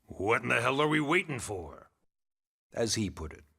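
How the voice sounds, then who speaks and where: A man playing a wry, formal, gently pushy government secretary in a video game cutscene, courteous and smug. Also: mid-range voice, big pitch swings, normal speed, gravelly, husky, heavily acted. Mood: wry, persuasive. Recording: loud music behind the voice.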